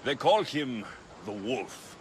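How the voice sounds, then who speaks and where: An actor playing a young, stoic, gruff mercenary in a video game, speaking in a terse, restrained way. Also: male voice, mid-range voice, sing-song, takes it slowly, slight background noise. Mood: businesslike. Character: gruff stoic male mercenary, young, world-weary but kind. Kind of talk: cinematic video game acting.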